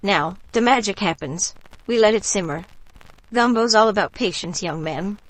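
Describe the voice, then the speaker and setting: A woman playing a warm local bayou woman in a radio drama, telling stories in an unhurried, folksy, gently mystical way. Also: mid-range voice, no room sound, lightly acted, low-quality recording. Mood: gentle, mystical.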